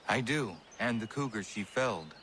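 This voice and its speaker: An actor playing a calm, earnest young male assassin in a video game, with measured, formal speech. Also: fairly low pitch, slight background noise. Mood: calm, polite. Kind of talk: video game cutscene acting.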